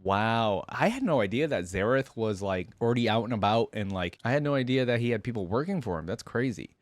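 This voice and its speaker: A man's voice: medium pitch, expressive, fairly quick, dry recording. A man giving casual, slangy, enthusiastic reaction commentary as a video host. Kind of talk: casual reaction commentary and story read-aloud.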